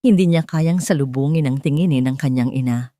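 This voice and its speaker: A woman narrating a scripted dramatic story in measured, short phrases. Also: rumbling low, dark tone, no echo, clean studio sound.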